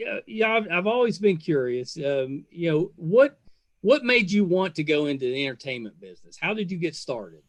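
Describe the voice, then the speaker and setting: Guy doing a casual podcast interview, slightly high voice, rambles and gushes, stops and starts. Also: very animated, no room sound. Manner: halting, rambling, effusive.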